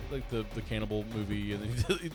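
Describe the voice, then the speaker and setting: A man's voice: lowish voice, lively intonation, moderate pace, over music. A man chatting casually as a co-host, rambling and thinking aloud in a tentative way. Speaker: podcast co-host. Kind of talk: casual chat.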